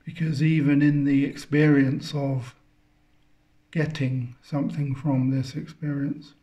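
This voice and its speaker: A man leading a guided meditation, speaking contemplatively in halting phrases with long pauses between them. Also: fairly high pitch, flat delivery, dark tone. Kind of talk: guided meditation, spiritual talk.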